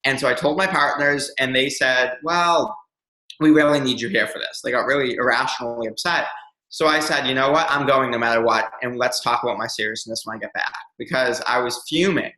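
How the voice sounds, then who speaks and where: Interview guest: young man, earnest and reflective, crisp bright voice, roomy echo. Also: medium pitch, steady tone, brisk. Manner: earnest, reflective, many ums. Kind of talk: candid personal storytelling.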